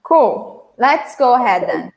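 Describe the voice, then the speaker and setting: A woman's voice: fairly high pitch, sing-song, slow, gravelly, low-quality recording. A Brazilian woman with a Brazilian accent, a native Portuguese speaker, chatting casually as a teacher in a live class. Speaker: language teacher.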